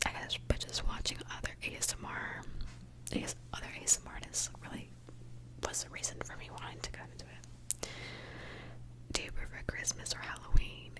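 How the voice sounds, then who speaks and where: A woman's voice: fairly quick, long pauses, poor audio. A young woman from Mexico, an ASMR creator, whispering a candid, chatty question-and-answer session.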